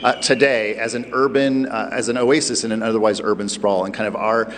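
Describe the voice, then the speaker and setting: A man's voice: on the low side, fairly even intonation, brisk. An American man explaining things in an interview as a museum staff member. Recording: reverberant hall, clear background noise.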